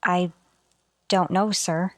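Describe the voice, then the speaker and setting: An actress playing an earnest communications officer on a starship in a sci-fi radio drama, deferential to a superior. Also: female voice, lowish voice, fairly even intonation, takes it slowly, no room sound. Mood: anxious, questioning. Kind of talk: golden age sci-fi radio drama acting.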